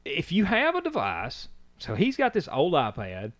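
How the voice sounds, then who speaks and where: A man's voice: fairly high pitch, wildly swooping pitch, normal speed, a bit bright, no echo. A man, chatting on a podcast as a co-host and telling a rambling story. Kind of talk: casual chat.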